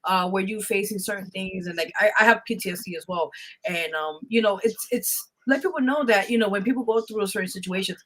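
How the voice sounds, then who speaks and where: A woman's voice: medium pitch, a little fast, poor audio. A woman hosting a podcast in a conversational interview style, often affirming what is said. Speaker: podcast host.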